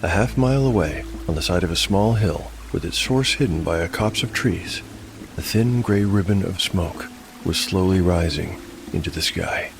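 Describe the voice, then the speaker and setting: Low-voiced male storyteller over music. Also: normal speed, gravelly. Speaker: narrator and host. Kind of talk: scripted dark fantasy narration.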